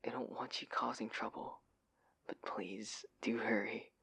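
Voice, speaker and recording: Breathy, anxious young husband acted by a man. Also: fairly low pitch, moderate pace. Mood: urgent pleading. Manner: hushed, pleading, breathy. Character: adorkable, anxious, oblivious husband, affectionate and flustered. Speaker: audio roleplay performer. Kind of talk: intimate audio roleplay acting.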